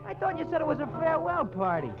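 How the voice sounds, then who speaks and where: A man's voice: extremely high pitch, big pitch swings, rapid-fire, full character voice. An American male actor playing a whiny, wheedling barfly in 1940s radio style. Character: whiny, wheedling barfly pal named Pinky. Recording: dull vintage radio recording with music.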